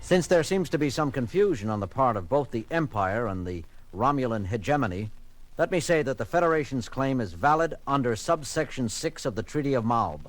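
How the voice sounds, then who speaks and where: A man's voice: mid-range voice, very animated, brisk, close to an everyday voice. A man playing an indignant, authoritative starship captain in a science fiction audio drama, with emphatic, exasperated delivery. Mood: diplomatic, faltering.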